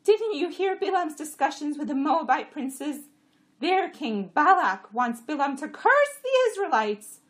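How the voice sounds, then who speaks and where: A woman voicing a bossy, exasperated female donkey with a sharp tongue and a braying, commanding delivery in a story. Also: sits high, big pitch swings, unhurried, pitched above the performer's own voice. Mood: earnest, worried. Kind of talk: audiobook character voice.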